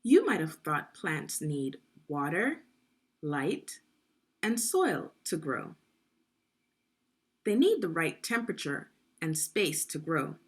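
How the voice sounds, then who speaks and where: Slow, lively woman reading to children. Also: deep voice. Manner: clearly enunciated, instructive, addressing young learners. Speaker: read-along teacher and narrator. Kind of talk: guided children's read-aloud with questions to the listener.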